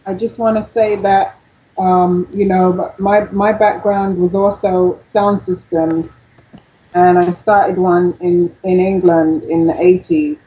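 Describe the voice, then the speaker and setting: A woman's voice: lowish voice, flat delivery, slow, muffled recording. A middle-aged woman casually telling her story as a guest on a talk show. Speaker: guest commenter, former sound system DJ.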